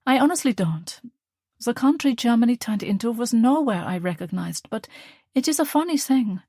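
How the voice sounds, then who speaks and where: A woman reading the part of a calm, loving mother, speaking softly and tenderly. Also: a little high, moderate pace, no echo, clean studio sound, voice pushed up. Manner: soft, tender, reassuring.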